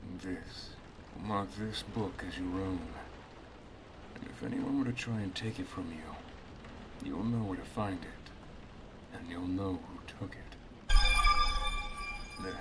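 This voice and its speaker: A man playing an affectionate, teasing wizard master in an ASMR roleplay, speaking softly, intimately and in a measured way. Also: low-pitched, background noise.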